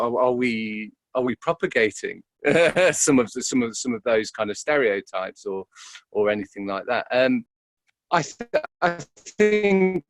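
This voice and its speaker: A man, an actor being interviewed, talking reflectively and casually in a halting way, often repeating words as he thinks. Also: fairly low pitch, vocal fry, dry recording.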